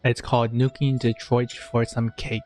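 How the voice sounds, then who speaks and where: Deadpan male gamer, flat delivery. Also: medium pitch, normal speed, soft background music. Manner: deadpan, sarcastic, joking. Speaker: gamer commenting on his playthrough. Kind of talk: casual gameplay commentary.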